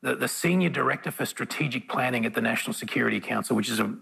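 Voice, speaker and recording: A man moderating a panel conversation, asking measured questions. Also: mid-range voice, lively intonation, vocal fry, fairly bright tone, slightly rough. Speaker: moderator, host.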